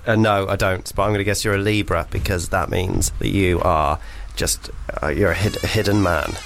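A man in his thirties hosting a comedy call-in show, playful and mock-excited in casual banter. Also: low voice, normal speed, slight background noise. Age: 30s. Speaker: host. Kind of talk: comic banter.

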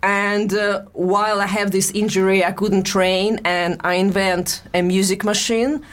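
A young adult woman from Russia with a Russian accent, talking in a casual interview in halting, broken English with frequent restarts. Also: medium pitch, level tone. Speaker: guest, boxer and musician.

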